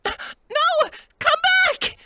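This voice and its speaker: A man's voice: extremely high pitch, lively intonation, very slow, sharp tone, slight background noise, pitched above the performer's own voice, full character voice. A man voicing a frantic, anxious mother pony in a story reading. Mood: frantic, desperate.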